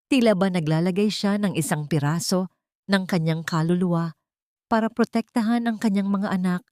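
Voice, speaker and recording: A woman narrates a dramatic serial in a suspenseful storytelling manner. Her voice sits slightly low, and the recording is dry, with almost no room around it. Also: a little mellow, dry recording.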